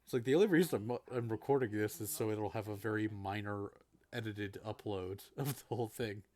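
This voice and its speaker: A man's voice: fairly low pitch, a little fast, a little mellow. A man hosting casual game commentary, blunt and sweary, laughing often. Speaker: host.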